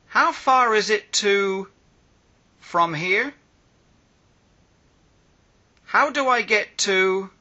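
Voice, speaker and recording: Brief: male phrasebook reader, very slow, high and lively, slightly husky. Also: muffled recording. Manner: reads each phrase slowly with pauses. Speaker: language teacher.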